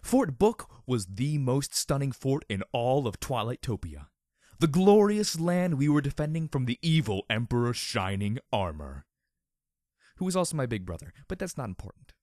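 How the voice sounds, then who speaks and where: Animated, overly dramatic male storyteller. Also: mid-range voice, normal speed, no echo, clean studio sound. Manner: theatrical, mock-serious. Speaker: story narrator. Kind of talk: overly dramatic scripted narration.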